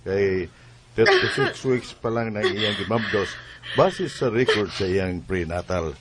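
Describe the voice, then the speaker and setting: An actor with a Cebuano accent playing a matter-of-fact, dismissive hospital doctor in a Bisaya radio drama. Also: male voice, on the low side, measured pace, natural voice. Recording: very noisy radio recording with clicks.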